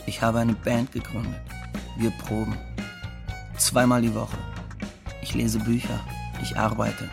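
An actor playing a blunt, scornful undocumented immigrant man who curses in a radio play monologue, with a gravelly voice. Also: on the low side, level tone, measured pace, long pauses, music in the background. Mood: defiant.

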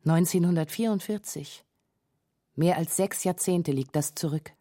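A woman's voice: on the low side, measured pace, mellow, no room sound, clean studio sound. A woman reading scripted narration for a radio documentary feature.

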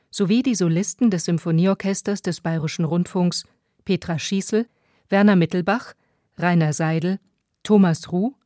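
A woman announcer reads a scripted radio announcement in a soft-toned, mellow voice. It sits fairly low and moves at an unhurried pace, in a dry studio sound. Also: dry recording. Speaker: announcer reading production credits.

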